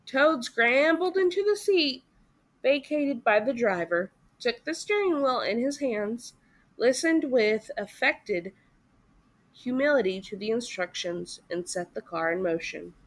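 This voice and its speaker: A woman reading a story aloud as expressive narration for children. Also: on the high side, a little slow. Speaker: story reader.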